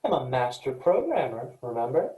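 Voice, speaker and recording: An actor playing an earnest, cautious but excitable male spaceship programmer in a staged sci-fi comedy reading. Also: medium pitch, normal speed, close to an everyday voice. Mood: wry, easygoing. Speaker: actor in a student play reading. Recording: roomy echo.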